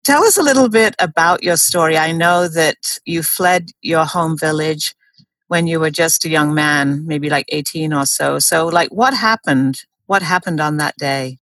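A woman with a notably low voice hosts an interview, asking earnest, gently probing questions. The sound is dry and close, with no room echo. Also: a little slow. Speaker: host. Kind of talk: interview, warm introduction.

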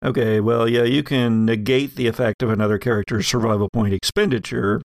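A man with a gravelly, creaky voice and an average pitch acts as game master for a horror tabletop game. He builds his descriptions piece by piece in a halting way, recorded close and dry. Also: fairly even intonation, no room sound.

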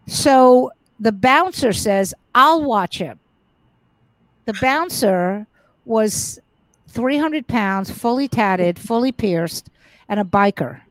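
A middle-aged woman comedian with a fairly high voice tells her stories frankly and with plenty of swearing. She trips over her words and restarts them as she goes. The sound is close and dry. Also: expressive, slow, dry recording, rough recording. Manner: frank, profane, many restarts. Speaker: comedian guest. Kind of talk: casual interview storytelling.

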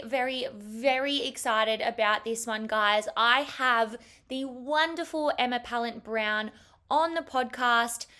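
Woman, Australian accent, podcast host, enthusiastic, slightly croaky, crisp bright tone. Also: a little high. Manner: enthusiastic, gushing. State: croaky from an early start. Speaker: podcast host, health teacher. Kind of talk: upbeat podcast interview.

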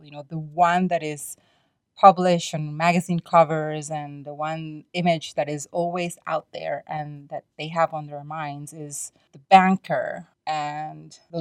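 A woman's voice: low-pitched, no room sound, clean studio sound. A woman hosting a podcast solo, talking in a conversational, explanatory and opinionated way. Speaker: podcast host.

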